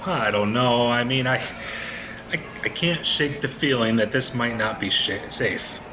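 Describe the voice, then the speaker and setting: A man's voice: mid-range voice, a little slow, background noise, close to an everyday voice. A man with an American accent playing a skeptical, sardonic ordinary husband in an audio drama, hesitant but curious, with wry colloquial delivery. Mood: hesitant, uneasy. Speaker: actor in an audio play. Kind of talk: audio drama acting in casual American talk.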